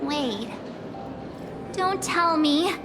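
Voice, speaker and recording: A woman's voice: sits high, expressive, drawn-out words, very noisy, exaggerated voice. An actress playing an earnest, curious young female adventurer in a video game. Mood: earnest, puzzled.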